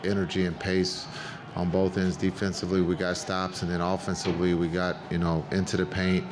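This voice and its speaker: A middle-aged coach speaks into a table microphone at a press conference. His voice is deep and flat, measured and plain, with frequent fillers. Noticeable noise from the press room sits underneath. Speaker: head coach. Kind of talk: postgame press conference answers.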